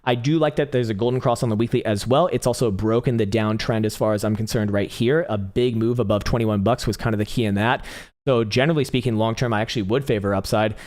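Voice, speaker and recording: A man's voice: fairly low pitch, darkish tone. A young man hosting a live crypto chart stream, talking casually and profanely, laughing often and starting to lose his voice. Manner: rapid, profane, laughs often, restarts words. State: losing his voice. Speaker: livestream host, crypto chart analyst.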